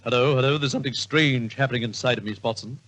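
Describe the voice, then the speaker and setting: A man's voice: mid-range voice, quick pace, fairly bright tone, natural voice. A man playing a pompous, theatrical famous detective in an old-time radio comedy, declaiming with melodrama. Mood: melodramatic.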